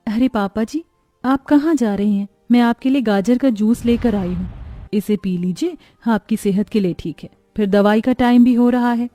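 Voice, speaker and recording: A woman's voice: a little high, normal speed, warm tone, soft background music, close to an everyday voice. A woman voicing a dutiful, caring young daughter-in-law who speaks respectfully and pleadingly in a family story.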